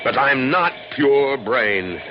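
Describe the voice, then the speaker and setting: A man's voice: mid-range voice, lively intonation, slow pace. An actor playing a driven, exasperated male scientist of the future in an old-time radio drama, brooding and speechifying. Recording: noisy, muffled old radio broadcast.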